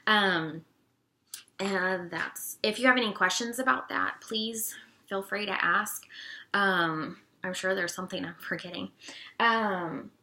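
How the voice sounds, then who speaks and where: A woman with a bright, forward tone and a raspy edge from allergies. She talks in a casual, friendly way, stopping often on "um" as she walks through the details. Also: medium pitch. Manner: friendly, chatty, many "um"s. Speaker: co-op treasurer. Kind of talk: casual informational video talk.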